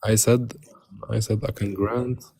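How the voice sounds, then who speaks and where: A man's voice: fairly low pitch, level tone, a little slow, dark tone. A man speaking through a voice changer in a live religious debate, terse and insistent, with a muffled voice. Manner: terse, insistent, repeatedly pushing to move on. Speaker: debate participant.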